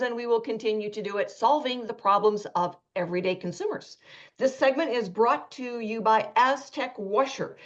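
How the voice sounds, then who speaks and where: Chatty woman radio host, lo-fi. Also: fairly high pitch. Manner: chatty, anecdotal, acts out quoted lines. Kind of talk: radio talk show chat and ad reads.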